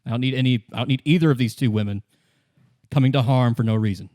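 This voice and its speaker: A man playing a gruff, evasive dock-gang leader in a tabletop game, talking in a defensive, halting way. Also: medium pitch, a little mellow, no echo, studio quality, lightly acted. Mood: guarded.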